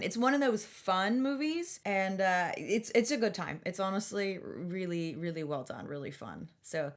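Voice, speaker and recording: A woman podcast co-host and comic chatting casually in slang, swearing freely as she banters. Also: fairly low pitch.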